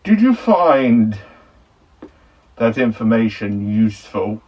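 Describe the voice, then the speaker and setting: A man voicing a menacing multiversal tyrant in an amateur audio drama, speaking in a pompous, deliberate way. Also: lowish voice, big pitch swings, dark tone, dull audio, exaggerated voice. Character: menacing multiversal tyrant villain, self-styled king ranger.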